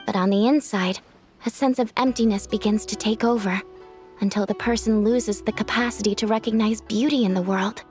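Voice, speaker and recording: A woman playing a clinic worker who explains things calmly in a game. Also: medium pitch, a little fast, faint music behind. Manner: calm and explanatory. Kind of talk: video game voice acting.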